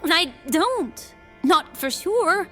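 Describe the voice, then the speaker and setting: A woman's voice: extremely high pitch, big pitch swings, very slow, a bit bright, heavily acted. A woman playing an earnest young noblewoman mage in a fantasy audio drama, hesitant but determined. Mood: urgent, uncertain. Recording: music under the voice. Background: music.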